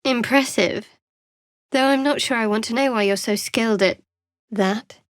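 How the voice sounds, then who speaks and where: Actress doing young dark cleric gal for a video game, guarded n wry, kinda high voice, super dry studio sound. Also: female voice, normal speed, dry recording, close to an everyday voice. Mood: wry, sardonic.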